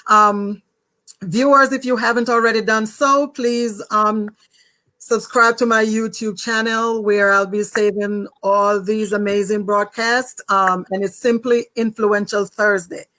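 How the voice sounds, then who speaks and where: A woman's voice: medium pitch, flat delivery, unhurried, sharp tone, rough recording. A woman with a Jamaican accent, speaking as the host of an online talk show.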